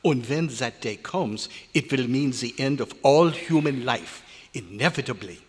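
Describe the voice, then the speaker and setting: An actor playing a grumbling old research scientist with odd foreign-style phrasing in a live recreation of an old radio drama. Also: male voice, mid-range voice, lively intonation, measured pace, breathy edge. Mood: grave lecturing. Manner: grumbling, exasperated, inverted foreign-sounding phrasing. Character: cantankerous old male research scientist, grumbling yet fond. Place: large hotel meeting room at a convention. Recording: echoey hall, live stage performance.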